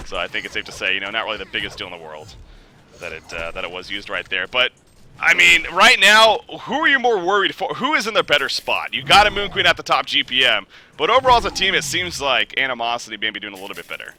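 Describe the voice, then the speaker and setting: Excitable bright-voiced male game caster. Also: quick pace, slight background noise. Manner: excitable, joking, rising pitch on big plays. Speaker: esports caster. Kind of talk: play-by-play game commentary.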